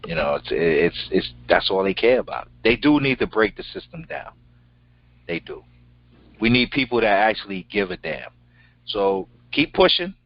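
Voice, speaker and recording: A middle-aged man co-hosting an interview, talking bluntly and casually, with a deep voice and some swearing. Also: moderate pace. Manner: blunt, animated, swears freely. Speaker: co-host. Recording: dull lo-fi sound.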